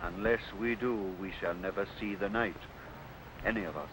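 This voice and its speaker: A man's voice: sits low, moderate pace, close to an everyday voice. An actor playing a grave, resolute medieval English warrior king, declaiming solemnly in 1950s television adventure style. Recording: noisy old 1950s film soundtrack.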